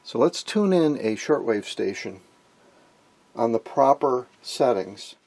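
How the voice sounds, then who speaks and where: A man giving an informal technical demonstration of vintage radio gear, explaining and pausing to point things out. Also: medium pitch, lively intonation, darkish tone. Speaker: host, electronics hobbyist.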